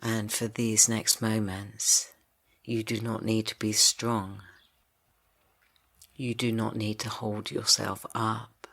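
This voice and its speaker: A man guiding a yoga nidra rest for grief, speaking slowly and softly, drifting into whispers. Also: lowish voice, level tone, creaky. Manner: slow, soft and soothing, drifting into whispers. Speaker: meditation guide. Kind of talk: guided yoga nidra meditation.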